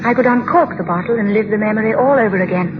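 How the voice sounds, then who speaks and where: A woman's voice: medium pitch, rapid-fire, noisy background, lightly acted. An actress playing a shy, naive young woman in love, with a hesitant, apologetic way of speaking, in vintage radio drama style. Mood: wistful. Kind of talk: 1940s radio drama acting. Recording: muffled old radio broadcast with music.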